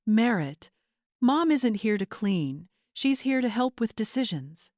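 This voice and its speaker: A woman's voice: a little high, very animated, measured pace, a little mellow, dry recording, clean studio sound, pitched above the performer's own voice. A woman voicing a tired, mild young groom, an appeasing son, in a narrated story.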